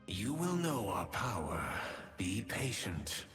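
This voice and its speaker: A grim male demon archer bent on revenge for a fantasy game. His lines come slow and low with a quiet menace. Game music plays clearly behind him. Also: big pitch swings, heavily acted.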